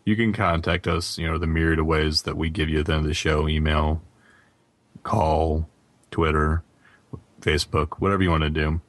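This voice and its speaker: A man's voice: very deep voice, dry recording. A man hosting a gaming podcast, speaking casually and directly to his listeners. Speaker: podcast host.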